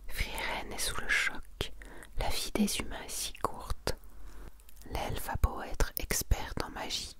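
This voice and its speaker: A woman presenting and reading summaries in a soft, breathy whisper, as an ASMR host, with a gentle, unhurried delivery.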